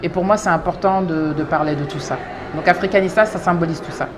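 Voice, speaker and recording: A woman in her thirties from France, a clothing brand founder, talking through her story thoughtfully with many pauses and restarts. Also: lowish voice, noisy background. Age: 30s. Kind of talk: interview monologue.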